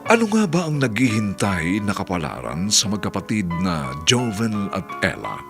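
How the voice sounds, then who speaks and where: A man narrating and announcing a radio drama in a measured, theatrical scripted style. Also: fairly low pitch, huge pitch swings, over music.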